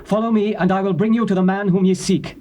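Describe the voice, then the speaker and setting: An actor playing a stern, commanding prophet who speaks in a solemn, declamatory way. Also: male voice, sits high, fairly even intonation, fast talker, mellow, muffled recording, close to an everyday voice. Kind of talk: dramatized scripture reading. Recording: faint tape hiss.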